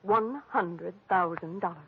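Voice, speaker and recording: A woman's voice: lowish voice, a little slow, full character voice. An actress playing a coy, anxious young wife of a rich man, hesitant and refined, in a 1940s-style radio drama. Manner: hesitant, breathy, faltering, refined. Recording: very muffled, phone-like old radio recording.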